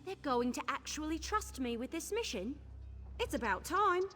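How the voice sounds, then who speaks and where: A woman's voice: high voice, sing-song, normal speed, sharp tone, faint music behind, full character voice. A woman voicing a cocky, impatient young female wood elf archer in a video game, with a sarcastic, grumbling, boastful manner. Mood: eager, proud.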